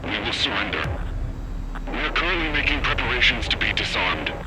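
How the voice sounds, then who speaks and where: A man's voice: mid-range voice, animated, brisk, heavy background noise. A man playing a fanatical older submarine captain in a video game, with grandiose, dramatic delivery over a radio. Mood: calm, formal.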